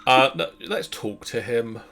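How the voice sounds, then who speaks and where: A man's voice: mid-range voice, lively intonation, a little slow, creaky, bright, forceful tone, faint music behind. A man joking wryly in casual banter as a player in a storytelling game. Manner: joking, wry. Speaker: player in a group gamebook session.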